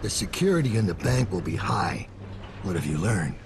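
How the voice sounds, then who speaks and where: An actor playing a weary, ailing older master assassin in a video game, a grave and terse mentor with a gravelly voice. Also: male voice, sits low, big pitch swings, moderate pace, full character voice. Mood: grim, focused. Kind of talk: dramatic game dialogue. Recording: clear background ambient noise.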